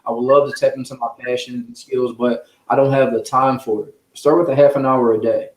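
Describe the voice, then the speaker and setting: A man's voice: mid-range voice, fairly even intonation, brisk. Encouraging man in an echoey room. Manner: encouraging, earnest. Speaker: children's book author, guest. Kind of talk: casual motivational interview talk. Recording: roomy, echoing sound.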